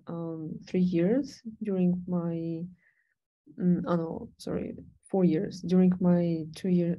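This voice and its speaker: A young woman whose first language is Russian, presenting her research background in an online talk, pausing often with fillers and restarts. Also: lowish voice, dark tone, lo-fi sound. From Latvia. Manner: hesitant, many fillers and restarts. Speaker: PhD candidate presenter.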